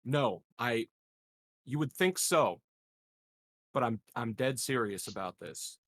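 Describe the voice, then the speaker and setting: A man playing a deadpan, scheming political candidate in a tabletop game, speaking hesitantly and with sly politeness. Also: medium pitch, takes it slowly, dry recording, studio quality, heavily acted. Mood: apologetic. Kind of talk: improvised tabletop roleplay.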